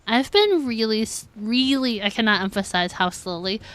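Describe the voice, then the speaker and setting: A woman's voice: on the high side, expressive, normal speed, fairly bright tone. A woman chatting casually on a game live stream, answering viewers and sometimes mumbling her words. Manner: mumbles at times, reads and answers chat. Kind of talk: casual live-stream chat while gaming.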